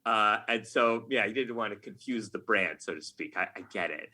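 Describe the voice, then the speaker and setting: A man's voice: on the low side, normal speed, bright, forceful tone. A middle-aged man talking casually as a podcast guest, with a wry, self-mocking, nerdy enthusiasm. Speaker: podcast guest, game writer.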